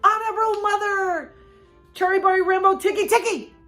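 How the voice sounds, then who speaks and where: A woman voicing a frantic, breathless little boy who shouts and pants as he pleads. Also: extremely high pitch, fairly even intonation, moderate pace, faint music behind, pitched above the performer's own voice. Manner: shouting, panting.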